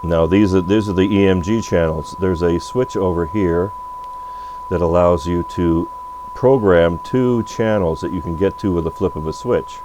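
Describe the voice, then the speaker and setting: Low voiced guy doing a hands-on demo at his workbench, kinda thinking out loud, noisy hum. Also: normal speed. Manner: off-the-cuff, thinking aloud. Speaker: radio repair bench presenter. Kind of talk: unscripted hands-on product demo. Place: electronics repair workbench. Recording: noisy recording with electrical hum.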